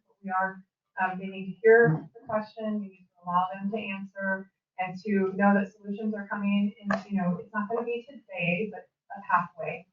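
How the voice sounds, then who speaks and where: A woman county supervisor opening a public meeting, speaking informally with many ums and restarts. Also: mid-range voice. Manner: informal, halting, with many fillers. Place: community meeting room. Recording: dull roomy sound from far off.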